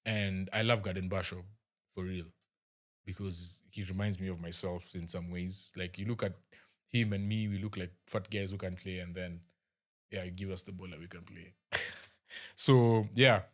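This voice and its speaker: A young man with a Kenyan accent, casually reviewing a rugby match as host, chatty and halting with lots of fillers and restarts. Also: deep voice, fairly even intonation.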